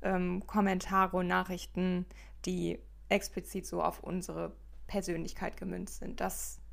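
A woman's voice: lowish voice, little pitch movement, measured pace, creaky. A woman co-hosting a podcast, speaking earnestly to listeners in casual chat with a regional German dialect. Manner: earnest, appealing directly to listeners. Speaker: podcast co-host.

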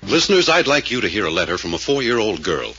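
A man's voice: on the low side, sing-song, fast talker, bright, forceful tone, lo-fi sound, slight background noise. A man reading a scripted public appeal in 1940s radio style, on an old broadcast recording. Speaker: radio actor making a charity appeal.

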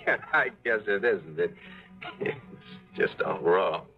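A brash, scheming adventurer tells his story in a low voice with lively swings. The sound is a tinny old radio broadcast with music underneath. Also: exaggerated voice. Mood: brooding. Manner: wry, fast-talking, scheming. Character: tough adventurer narrating, brash and cocky. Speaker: lead actor and narrator. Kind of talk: 1940s radio drama acting.

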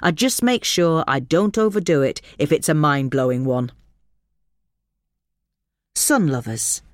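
A woman's voice: sits low, measured pace, no room sound, studio quality. A woman reading a gardening article aloud as a scripted magazine narration.

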